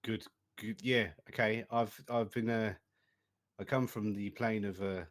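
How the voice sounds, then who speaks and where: A man's voice: lowish voice, fairly even intonation, unhurried. A man playing a tabletop game who jokes and laughs easily while introducing his character. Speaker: player.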